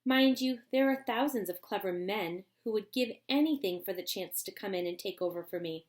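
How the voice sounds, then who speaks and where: A woman voicing an eccentric, excitable old man who makes candy, in a storybook reading. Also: mid-range voice, lightly acted. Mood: eager. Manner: brisk, eager and bossy.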